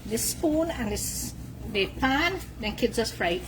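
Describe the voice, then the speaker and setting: A woman's voice: on the high side, expressive, takes it slowly, a little hiss or hum. An older woman, telling stories and giving cooking tips in a home kitchen. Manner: storytelling, instructive.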